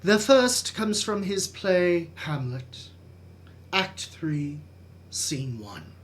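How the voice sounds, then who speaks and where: A performer introducing and reading poems in a slow, deliberate, theatrical manner. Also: medium pitch, big pitch swings, slight background noise. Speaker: poetry reader, streamer. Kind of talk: dramatic poetry reading.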